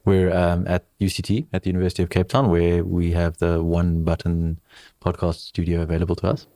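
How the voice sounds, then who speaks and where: Deep-voiced joking man. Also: level tone, a little fast, mellow, no room sound. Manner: joking, teasing. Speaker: podcast co-host. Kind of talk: casual podcast banter. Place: small podcast studio.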